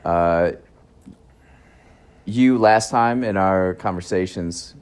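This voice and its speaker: A man's voice: sits low, slow pace, darkish tone, smooth. A man hosting a casual podcast chat in his cousin's garage, telling stories in a rambling way with restarts and laughs, recorded on a phone in his chest pocket. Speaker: podcast host.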